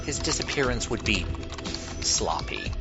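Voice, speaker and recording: A man playing a wry, quietly menacing conspirator who speaks in a measured, needling way in an audio drama. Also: medium pitch, big pitch swings, gravelly. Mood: warning, needling. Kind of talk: scripted audio drama acting. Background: music, keyboard typing.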